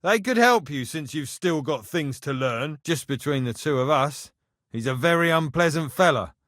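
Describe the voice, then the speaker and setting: A grumbling castle blacksmith from a medieval video game. His voice sits high for a man and swings animatedly as he orders, scoffs and mocks in blunt, colloquial phrases. The sound is dry and close, with no room on it. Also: expressive, normal speed, a bit bright, no room sound. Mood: instructing, wry. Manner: blunt, sardonic, exasperated, rough colloquial phrasing. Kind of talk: video game character dialogue.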